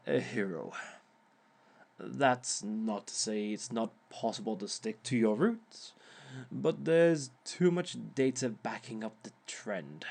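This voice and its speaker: A man's voice: medium pitch, a little mellow. A man playing a smug male villain who gives romance advice in a wry, mock-sympathetic way and chuckles often at his own words. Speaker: villain relationship expert. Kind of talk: audio roleplay monologue.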